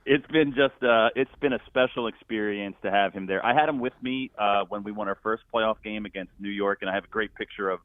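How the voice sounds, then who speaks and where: Deep-voiced man on a tinny phone line. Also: brisk, hardly any pauses, dry recording. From Philadelphia. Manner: excited, rambling with many fillers and restarts. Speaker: stadium PA announcer as guest. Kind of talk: casual phone interview.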